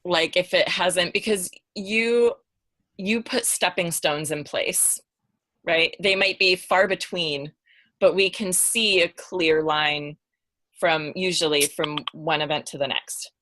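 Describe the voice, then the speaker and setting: A woman chatting casually on a podcast panel, thinking aloud haltingly with an amused manner. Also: on the low side, steady tone, pushed and bright, dry recording.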